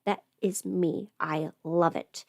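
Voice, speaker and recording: A woman's voice: fairly low pitch, steady tone, slow pace, creaky, a little mellow, no echo, clean studio sound. A woman chatting casually on a livestream while under the weather, thinking aloud as she does her makeup. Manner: chatty, rambling, thinking aloud, sometimes playful. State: sick with a cold or illness. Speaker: livestream host and audio performer.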